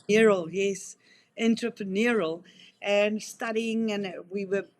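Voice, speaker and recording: A woman's voice: mid-range voice, fairly even intonation, slow pace, darkish tone. A South African woman in her fifties who works in wildlife rehabilitation answers interview questions in an earnest, talkative way, often restarting her sentences. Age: 50s.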